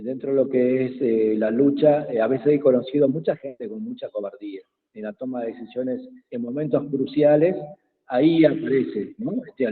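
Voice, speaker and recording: A man whose first language is Quechua, speaking slowly and hesitantly as a guest in an online workshop, with many pauses and fillers. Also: mid-range voice. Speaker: invited speaker. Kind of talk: slow reflective talk in an online workshop. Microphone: video call. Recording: tinny phone-like call audio with long reverb.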